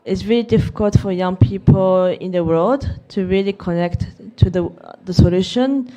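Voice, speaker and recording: Hesitant woman speaker with a mellow voice. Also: medium pitch, moderate pace, soft background music. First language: Japanese. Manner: hesitant, many fillers and restarts. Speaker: keynote speaker, youth representative. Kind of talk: unscripted conference speech. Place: conference venue.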